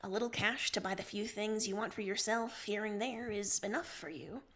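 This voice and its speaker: A woman's voice: medium pitch, normal speed, fairly bright tone, natural voice. A woman voicing a reluctant, principled troll rebel in a story, earnest and firm. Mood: firm refusal.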